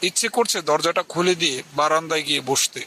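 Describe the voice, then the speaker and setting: A man voicing a polite young villager who tells a scripted ghost story in a measured, earnest way. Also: on the high side, pushed and bright, slight background noise. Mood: earnest, uneasy. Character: polite young village man from a poor family recounting his ghost encounter. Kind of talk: scripted horror story narration with acted dialogue. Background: music.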